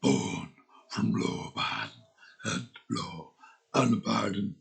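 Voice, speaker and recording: A man putting on a gravelly, growling old narrator voice, reading a whiskey ad script very slowly. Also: lowish voice, lively intonation, full character voice. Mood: dark, strained. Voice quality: creaky, gravelly. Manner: very slow, laboured delivery.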